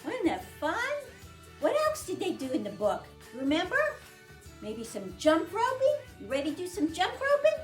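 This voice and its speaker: A woman's voice: very high-pitched, huge pitch swings, moderate pace, soft background music. A woman reading aloud at a children's storytime as a librarian, in a sing-song manner with simple questions to young listeners.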